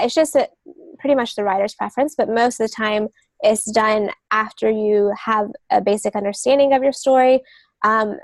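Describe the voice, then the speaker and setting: A woman with a fairly high voice co-hosts a podcast. She explains in a conversational, informative manner, taking things one step at a time. The recording is dry and free of echo. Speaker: podcast co-host, literary agent.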